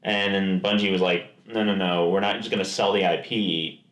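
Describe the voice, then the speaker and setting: A man's voice: low-pitched, steady tone, moderate pace, a bit bright. A man co-hosting a podcast in casual chat, rambling with many restarts and quoted asides. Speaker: podcast co-host. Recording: echoey room.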